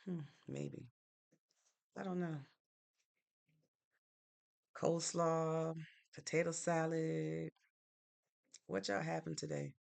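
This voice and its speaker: A woman from Connecticut hosts a casual live stream and talks through viewer comments in a relaxed, meandering way. Her voice carries a strong, gravelly vocal fry throughout. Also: low-pitched, takes it slowly, pauses often. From Norwalk, Connecticut, United States. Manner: easygoing and chatty, thinks aloud with fillers.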